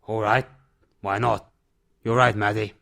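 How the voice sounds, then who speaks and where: A man playing a gruff, solemn older recluse with a terse, grave manner in an amateur audio drama. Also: fairly low pitch, fairly even intonation, a little slow, sharp tone, heavily acted. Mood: firm.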